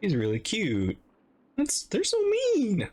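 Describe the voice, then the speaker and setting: A woman's voice: on the low side, wildly swooping pitch, slow, a little mellow, breathy edge. A woman streamer giving chatty, playful, casual live reaction commentary while playing a game.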